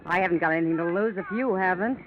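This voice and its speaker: A woman's voice: on the low side, fast talker, natural voice. An actress playing a wary, sardonic, tough-talking young barroom woman in an old-time radio detective drama. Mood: sardonic, guarded. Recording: tinny, faintly noisy old radio recording.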